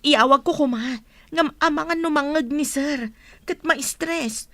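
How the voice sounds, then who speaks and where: A woman's voice: sits high, a little slow, fairly bright tone, dry recording. A woman playing a cautious, secretive young household helper in a radio comedy drama, earnest and urgent. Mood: hesitant, anxious.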